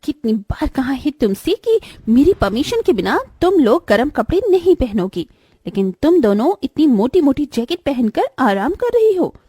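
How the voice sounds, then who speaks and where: A woman's voice: sits high, very animated, moderate pace, mellow, dry recording. A woman voicing a bitter, domineering young elder stepsister in a cartoon moral story, with an emphatic, dramatic delivery. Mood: angry, scolding.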